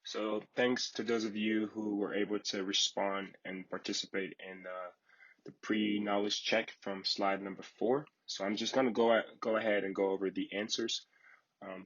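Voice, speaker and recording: A man from Liberia leads a training session in a low, husky voice. His delivery is fairly flat, with a polite, formal, instructional manner. The recording is a little dull and lo-fi. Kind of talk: online training lecture.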